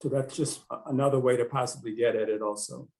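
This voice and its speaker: A man who works as a newspaper editor speaks on a panel discussion over a video call. His voice sits at a middle pitch. He talks haltingly, with frequent fillers and restarts. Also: fairly even intonation, darkish tone. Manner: halting, hesitant, many fillers.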